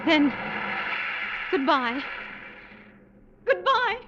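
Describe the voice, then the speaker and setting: A timid, wistful young woman from a 1940s-style radio play. Her voice sits a little high, hesitant and haunted by fear. The old recording is muffled, with clear noise. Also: big pitch swings, very slow, full character voice. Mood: frightened, pleading. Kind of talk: 1940s-50s radio acting.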